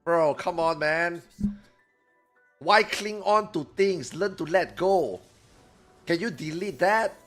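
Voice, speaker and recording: A man streaming live who chats casually, swears freely and reacts loudly to his viewers, and he says he is losing his voice. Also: high voice, slow pace, pauses often, faint music behind.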